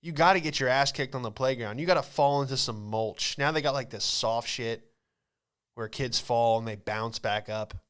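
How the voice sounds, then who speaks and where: A man's voice: mid-range voice, moderate pace, fairly bright tone, clear, resonant tone. A man in his late twenties with an American accent, a podcast host telling comic stories in a casual, slangy, rambling way. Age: late 20s. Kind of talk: comedic storytelling.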